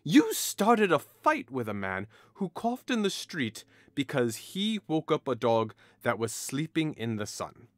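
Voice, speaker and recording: A man's voice: a little high, no echo, clean studio sound. A man playing a hot-headed, mocking young nobleman in an audio drama, with a theatrical, taunting delivery.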